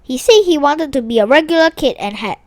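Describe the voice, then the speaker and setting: Animated woman reading a children's story aloud. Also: high voice, brisk, no room sound. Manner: animated, with small stumbles and restarts while reading. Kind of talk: expressive read-aloud narration of a children's story.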